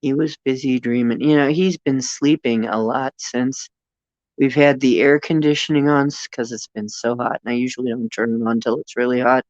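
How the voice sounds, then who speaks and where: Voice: medium pitch, steady tone, normal speed, darkish tone, no room sound, lo-fi sound. An older host with a Northeastern Ohio accent, chatting casually and expressively, laughing often and trailing off with fillers. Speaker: channel host. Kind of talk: casual livestream chat.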